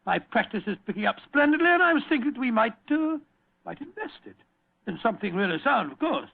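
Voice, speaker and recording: A genial, fussy British gentleman storyteller in an old radio play. His voice is high and crackly, and he blusters and repeats himself. The sound is thin and phone-like. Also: big pitch swings, fast talker, vocal fry, full character voice. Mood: cheerfully sheepish. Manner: blustering, hesitant, repeats words. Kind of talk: vintage radio drama acting.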